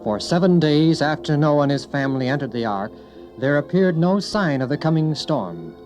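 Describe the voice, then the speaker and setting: A man's voice: fairly high pitch, lively intonation, moderate pace, darkish tone, soft background music. A man narrating a scripted Bible story with grave, dramatic storytelling. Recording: dull lo-fi recording.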